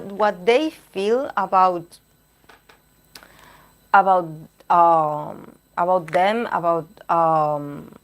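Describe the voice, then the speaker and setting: Tarot reader, woman, slow unhurried delivery, voice going hoarse, halting as she searches for words mid-reading. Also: medium pitch. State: losing her voice. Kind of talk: live-stream tarot reading, chatting with viewers.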